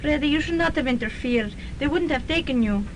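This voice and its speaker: A woman playing an excitable, sharp-tongued young college student in a comic radio drama. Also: sits high. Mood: scolding, then tender. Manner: animated, brisk comic delivery. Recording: slightly noisy student radio recording.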